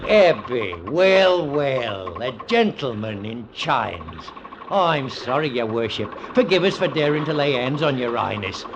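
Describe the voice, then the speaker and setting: An actor playing a hard, callous ship's first mate in an old radio drama, with rough speech and a sardonic manner. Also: male voice, mid-range voice, very animated, measured pace, lo-fi sound, noisy background. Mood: sneering.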